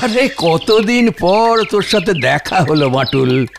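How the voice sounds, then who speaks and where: A jovial cartoon host with a highish voice that swings wildly in pitch. He is effusive and unhurried, repeating phrases over music. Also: big pitch swings, creaky, heavily acted. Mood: joyful. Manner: effusive, repeats himself, mixes in English phrases. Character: jovial, effusive rich friend and generous host. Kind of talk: children's cartoon voice acting.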